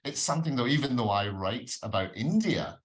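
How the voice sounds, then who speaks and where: A man's voice: medium pitch, lively intonation, brisk. A man with a Scottish accent, giving considered, articulate answers as a guest author in a panel discussion. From Scotland. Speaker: crime novelist, festival panel guest.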